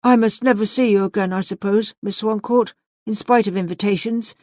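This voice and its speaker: A woman voicing a reserved young gentleman suitor in a novel, speaking formally and emphatically. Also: medium pitch, fairly even intonation, brisk, dry recording, pitched above the performer's own voice, close to an everyday voice. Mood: abrupt, troubled.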